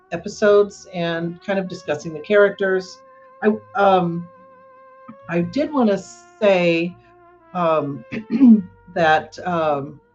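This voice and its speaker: A woman hosting a podcast, giving casual solo commentary in a halting way. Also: lowish voice, lo-fi sound. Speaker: podcast host.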